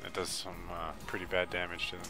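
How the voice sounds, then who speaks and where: A man's voice: deep voice, completely flat delivery, fairly quick. A man giving a casual, matter-of-fact walkthrough commentary on a video game. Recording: game music behind the voice. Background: video game music.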